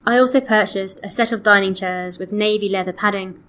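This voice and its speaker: A woman acting as a polite female customer with a mid-range voice. She enunciates each detail in an orderly, measured manner, as in a scripted listening exercise. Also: mid-range voice, a bit bright, natural voice. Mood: polite, matter-of-fact. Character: polite woman customer phoning to report missing, damaged antiques. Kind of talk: scripted listening-test dialogue.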